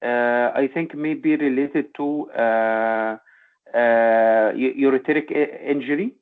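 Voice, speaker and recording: A male medical trainee gives halting exam-style answers, often searching for his words. His voice sits at an average male pitch and comes through a narrow, tinny connection like a phone call. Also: smooth. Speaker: trainee doctor practising for an oral exam. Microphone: online call.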